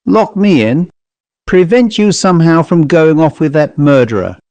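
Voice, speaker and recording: A man's voice: fairly high pitch, animated, normal speed, a little mellow, no echo, voice pushed up. Man playing a plaintive Cockney manservant. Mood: composed, earnest. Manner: controlled, composed, wistfully reproachful. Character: self-possessed, plaintive Cockney manservant with Mediterranean tinge.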